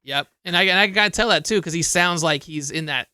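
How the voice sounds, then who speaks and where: A man with a bright, crisp and fairly high voice co-hosts a casual music podcast from a dry, close-miked spot. He talks in an easygoing, agreeable way and is quick to chime in. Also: dry recording. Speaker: podcast co-host.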